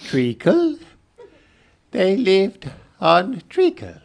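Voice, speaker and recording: A man playing a sleepy, testy little mouse who dithers and rambles haltingly in live radio theatre. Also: high voice, wildly swooping pitch, gravelly, full character voice. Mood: rambling storytelling. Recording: live stage with background noise.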